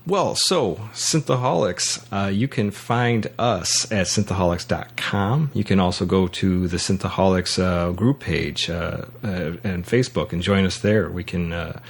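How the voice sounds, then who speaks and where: A man with a low voice hosts a casual podcast. He talks in an informal, stop-and-start way, doubling back on words as he goes. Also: measured pace, hardly any pauses, husky. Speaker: podcast host. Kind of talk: casual chat.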